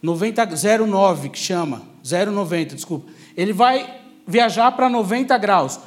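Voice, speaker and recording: A man's voice: high voice, expressive, normal speed. A man with a Brazilian São Paulo accent preaching a sermon through personal testimony, conversational but emphatic, repeating key phrases for effect.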